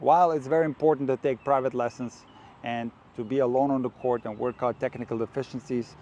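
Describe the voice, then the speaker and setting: Man, from Croatia, tennis coach, earnest and direct, warm mellow tone, outdoors. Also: medium pitch, fairly even intonation, brisk, muffled recording. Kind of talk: coaching talk to camera.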